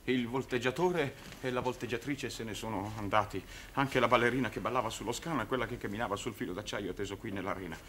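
A middle-aged man, an actor playing a downtrodden, excitable circus clown in an old TV drama. His forceful, bright voice stumbles and repeats theatrically, proud and bitter, over faint soundtrack noise. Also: mid-range voice, fast, hardly any pauses, natural voice. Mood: dejected. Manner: stammering, repetitive, theatrical. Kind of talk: 1960s Italian television drama acting. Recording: noisy old television soundtrack.

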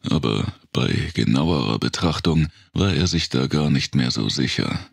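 A man with a gravelly, husky voice reading scripted audiobook narration of a dark thriller. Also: a bass voice, animated, a little slow, no room sound, clean studio sound.

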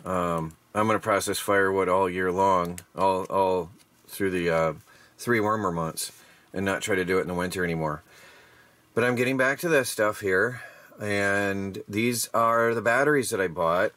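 A man's voice: deep voice, moderate pace, a bit bright, no echo. A man casually narrating a walk-through of his homestead yard and workshop, rambling and plain-spoken, tired after a day of hard work, recorded on the camera mic. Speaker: homestead DIY vlogger. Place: outdoors in a yard, then a workshop.

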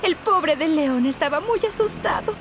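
Very high, fretful cartoon lady. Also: expressive, normal speed. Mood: relieved. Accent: Latin American Spanish. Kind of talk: children's cartoon dubbing. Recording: loud music underneath.

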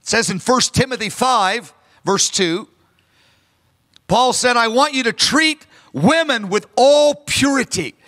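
A man in his fifties preaches with a very high, bright and forceful voice, earnest and emphatic. His words ring out through a large hall with a long reverb trailing behind them. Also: lively intonation, slow pace. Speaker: pastor. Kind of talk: sermon. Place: large church stage. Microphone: handheld microphone.

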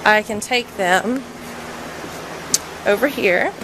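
A woman's voice: medium pitch, slow pace. A woman in her thirties giving a casual, chatty walkthrough of her barn's horse stalls, full of ums, recorded on the handheld camera she is carrying. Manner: chatty, frequent ums, filming as she walks. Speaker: barn owner reviewing horse stalls. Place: indoor horse barn aisle. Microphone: handheld camera mic. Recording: noisy handheld camera audio.

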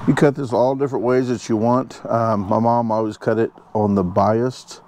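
A man's voice: medium pitch, fairly even intonation, normal speed, a little mellow, a little hiss or hum. A man chatting casually while cooking at an outdoor campsite, cracking dry jokes between fillers. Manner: joking asides, rambling fillers. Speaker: outdoors vlogger. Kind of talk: casual camp cooking chat.

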